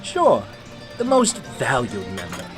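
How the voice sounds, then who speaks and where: A man's voice: mid-range voice, huge pitch swings, unhurried. Man playing a slick, swooping gangster recruiter. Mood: slick coaxing. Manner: coaxing, streetwise. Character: slick adult male gangster recruiter, pushy and persuasive. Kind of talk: radio drama acting. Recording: prominent music bed behind voice.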